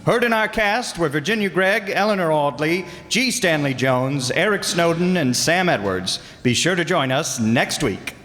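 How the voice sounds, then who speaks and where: An actor playing a polished, upbeat 1950s radio network announcer. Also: male voice, fairly high pitch, animated, normal speed, few pauses, fairly bright tone. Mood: upbeat, formal. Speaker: show announcer. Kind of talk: old-time radio announcing. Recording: echoey hall live recording, noisy.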